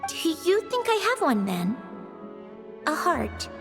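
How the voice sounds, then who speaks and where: A woman's voice: high-pitched, huge pitch swings, slow, heavily acted. A woman voicing a proud, sardonic female clockwork doll with a huffy, haughty manner in an anime video game. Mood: doubtful, pressing. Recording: loud music underneath. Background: background music.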